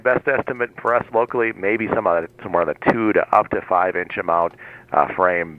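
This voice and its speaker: A man calling in to a radio show as meteorologist, giving a relaxed, rambling forecast full of fillers. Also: on the low side. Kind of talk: weather forecast call-in. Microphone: phone. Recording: tinny phone line.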